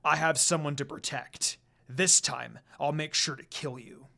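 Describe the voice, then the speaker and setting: A man's voice: fairly high pitch, fairly even intonation, measured pace, gravelly, pushed and bright, voice pushed down, full character voice. A man voicing a proud, fiercely protective male demon lord who snarls in anger. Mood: angry vow.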